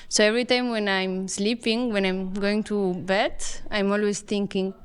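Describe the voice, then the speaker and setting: A woman, a psychology student reflecting calmly as a podcast guest. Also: medium pitch, moderate pace, clean tone. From Italy. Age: 20s. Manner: calm, reflective, some fillers.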